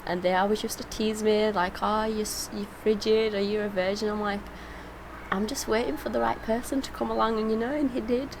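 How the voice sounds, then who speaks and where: Woman vlogging, emotional and rambly, lots of vocal fry, clear background noise. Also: medium pitch, steady tone, brisk. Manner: heartfelt, rambling. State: emotional, tearful. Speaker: reaction vlogger. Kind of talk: personal, casual reaction talk.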